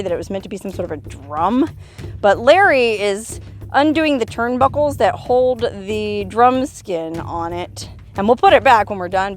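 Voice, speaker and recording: High-voiced woman chatting in an outdoor vlog. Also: fairly bright tone. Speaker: vlog host. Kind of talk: casual outdoor vlog talk to camera. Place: outdoors on a desert hilltop.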